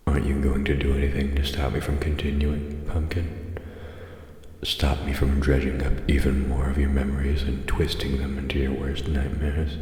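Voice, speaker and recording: A man's voice: very deep voice, darkish tone, husky, full character voice. A man playing a taunting, predatory demon who trains a human hunter, in an audio roleplay spoken to the listener, teasing and menacing. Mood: dark, cruel. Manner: teasing, menacing, drops into whispers.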